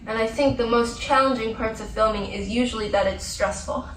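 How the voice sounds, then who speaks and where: Articulate actress heard from afar in a theater. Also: female voice, fairly high pitch, a little fast. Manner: articulate and reflective, with light laughs. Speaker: actress, festival guest. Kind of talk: post-screening Q&A answers. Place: large theater auditorium. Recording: roomy theater sound from a distance, faint hum.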